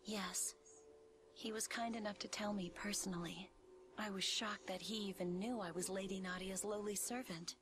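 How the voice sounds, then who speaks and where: A woman's voice: medium pitch, steady tone, moderate pace, background noise, natural voice. A woman voicing a humble, sorrowful young servant in a game cutscene, speaking politely and confidingly. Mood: subdued. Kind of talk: scripted game cutscene acting.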